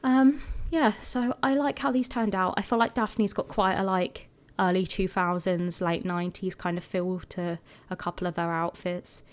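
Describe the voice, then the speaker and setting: A woman with a croaky voice, chatting casually and rambling a bit as she talks over her video. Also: on the low side, steady tone, moderate pace, few pauses. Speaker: gaming video creator.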